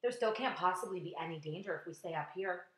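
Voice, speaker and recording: A woman's voice: fairly low pitch, fast talker, fairly bright tone, close to an everyday voice. A woman voicing a brash male centipede, impatient and sarcastic, in a children's storybook. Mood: dismissive. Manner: urging, sarcastic. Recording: echoey, roomy sound.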